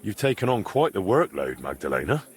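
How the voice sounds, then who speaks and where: A man's voice: on the low side, sing-song, fairly quick. A man playing an easygoing, modest young blacksmith adventurer in a medieval video game. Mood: friendly, curious. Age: young adult. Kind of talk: video game character dialogue.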